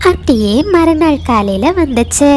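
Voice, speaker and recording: A woman's voice: high voice, animated, unhurried, darkish tone, faint music behind. A woman reading scripted storybook narration for children.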